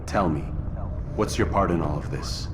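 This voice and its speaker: An actor playing a stern, righteous assassin in a video game, controlled and accusing. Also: male voice, sits low, moderate pace, noisy background, close to an everyday voice. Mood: cold, questioning. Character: stern, righteous young male assassin questioning his target. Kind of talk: video game hero acting.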